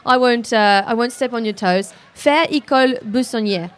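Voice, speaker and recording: A woman's voice: on the high side, unhurried, clean tone. Chatty woman radio host. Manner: chatty, relaxed. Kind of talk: casual radio interview.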